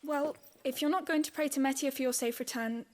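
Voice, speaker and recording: A woman plays a young girl in a game cinematic. Her voice is high and gentle, full of care. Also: fairly even intonation, fairly quick, close to an everyday voice. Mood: gentle, concerned. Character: gentle, caring teenage girl. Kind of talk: video game cinematic acting.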